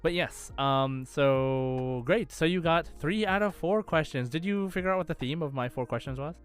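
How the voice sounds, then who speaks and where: A man co-hosting a casual gaming quiz podcast, chatting in a halting way and repeating words as he thinks aloud. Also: on the high side, clean tone, faint music behind. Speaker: podcast co-host and quiz contestant.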